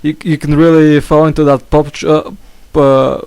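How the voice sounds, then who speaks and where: A man's voice: a little high, level tone, normal speed, darkish tone, clear, resonant tone. Hesitant young man hosting a podcast. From England. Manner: hesitant, full of fillers and restarts. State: slight cough. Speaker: podcast host. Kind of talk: casual chat.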